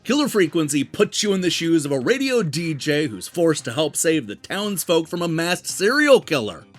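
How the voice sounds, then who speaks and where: A man delivering a scripted video game review as the show's host, with emphatic, deliberate phrasing. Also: fairly high pitch, very animated. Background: background music.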